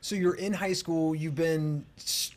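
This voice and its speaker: Higher-voiced man asking chummy questions. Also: fairly even intonation, a little slow. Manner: loose, profane, chummy questions. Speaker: podcast host. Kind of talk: casual podcast interview.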